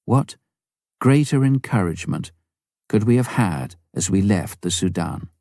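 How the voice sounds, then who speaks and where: A man reading an audiobook as scripted narration, with measured, clearly phrased delivery. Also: on the low side, lively intonation, mellow, no room sound, clean studio sound. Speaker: audiobook reader.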